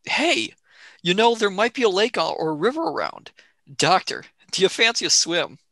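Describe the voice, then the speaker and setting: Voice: mid-range voice, sing-song, moderate pace, pushed and bright, no room sound, clean studio sound. A performer playing a cheeky, impatient young male adventurer in a radio play, breezy and argumentative. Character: cheeky, impatient young man, time-travelling companion.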